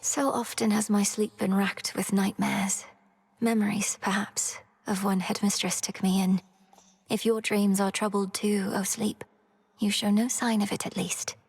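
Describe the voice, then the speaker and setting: A woman playing an earnest, determined young underworld princess in a video game, speaking formally and with measured resolve. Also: mid-range voice, level tone, a little mellow, husky. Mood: hushed, troubled. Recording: long reverberant hall effect.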